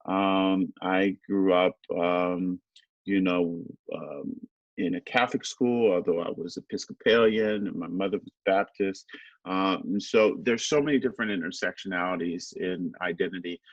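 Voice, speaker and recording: A man's voice: sits low, normal speed, no room sound, lo-fi sound. A middle-aged man from Haiti, a teacher answering student interview questions thoughtfully, with many fillers and a habit of adding "right?".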